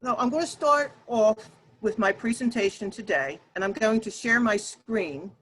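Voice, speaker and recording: A woman with a loud, projecting voice leads an online workshop, addressing her listeners directly. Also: on the high side, moderate pace, slight background noise, poor audio. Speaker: workshop leader and Toastmasters speaker. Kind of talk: workshop presentation to an online group. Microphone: video call.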